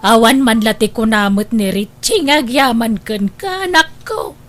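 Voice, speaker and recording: A woman's voice: fairly high pitch, moderate pace, soft background music, close to an everyday voice. A woman with a Filipino accent acting an aggrieved, fretting grandmother in a radio drama. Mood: earnest, pleading. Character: aggrieved grandmother, fretting over her sacrificing daughter.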